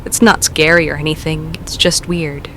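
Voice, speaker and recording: A woman's voice: deep voice, flat delivery, a bit bright, a little hiss or hum. A woman playing a hesitant, self-doubting caller. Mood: uneasy. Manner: hesitant, halting, self-deprecating.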